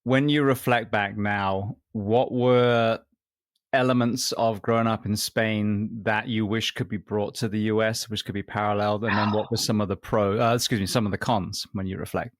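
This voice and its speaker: A man hosts the conversation, asking easygoing, curious questions. His voice sits fairly low, and the sound is close and dry. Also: fairly even intonation, normal speed, dry recording. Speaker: podcast host. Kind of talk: interviewer chat.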